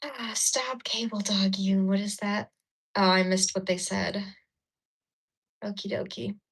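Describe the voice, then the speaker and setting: A woman's voice: mid-range voice, little pitch movement, a little slow, slightly rough. A woman hosting a casual livestream, chatting with viewers and laughing while she does her makeup, recorded on a phone. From Ukraine. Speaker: livestream host. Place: indoors at a mirror.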